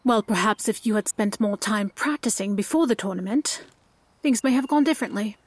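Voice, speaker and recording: A woman's voice: medium pitch, a little fast, dry recording, lightly acted. A woman playing an earnest, reproachful and compassionate young noblewoman in an audio drama, speaking formally and with righteous intensity.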